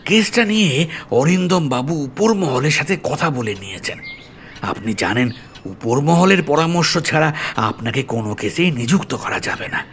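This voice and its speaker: A man's voice: on the high side, animated, normal speed, fairly bright tone, slight background noise. A man playing an earnest, deferential police officer in an audio story, explaining things politely and seriously. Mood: grave.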